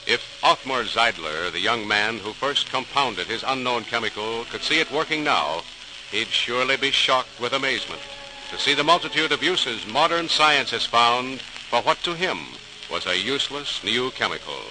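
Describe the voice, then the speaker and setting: A man's voice: mid-range voice, lively intonation, moderate pace. Dramatic man narrating noisy vintage radio. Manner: dramatic, declamatory, formal. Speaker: announcer and narrator. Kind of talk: 1940s radio scripted narration. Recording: noisy old radio transcription recording.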